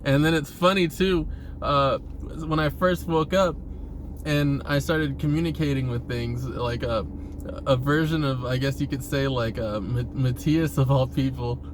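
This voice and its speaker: Casual, sweary man over clear background noise. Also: medium pitch, steady tone, a little slow. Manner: informal, profane, frequently chuckling. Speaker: vlogger talking about himself. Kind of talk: casual rambling confessional monologue. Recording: clear vehicle-like ambient noise.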